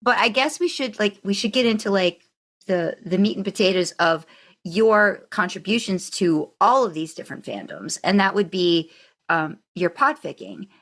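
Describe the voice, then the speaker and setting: A woman's voice: mid-range voice, lively intonation, moderate pace, fairly bright tone. A woman hosting a podcast in casual chat, talking in an informal, rambling way. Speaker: podcast host.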